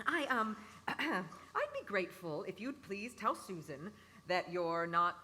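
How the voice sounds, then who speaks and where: A woman's voice: mid-range voice, big pitch swings, slow, noisy background. An actress playing a practical working mother, polite but firm and easily exasperated, in a live radio play. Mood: awkward, pleading.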